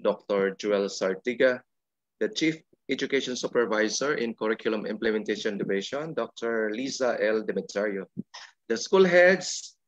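A man's voice: fairly low pitch, animated, no echo. Filipino-accented man, formal greetings, dull audio. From Philippines. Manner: formal, deliberate listing of names and titles. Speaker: education supervisor giving opening greetings. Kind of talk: formal ceremonial acknowledgments.

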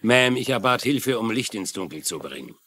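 Ein Schauspieler spielt einen skeptischen, sachlich argumentierenden Polizei-Lieutenant in einem synchronisierten Fernsehkrimi. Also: male voice, fairly low pitch, sing-song, normal speed, a bit bright, a little hiss or hum. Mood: sceptical. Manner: matter-of-fact, argumentative. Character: sceptical, matter-of-fact male police lieutenant. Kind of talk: German-dubbed TV crime dialogue.

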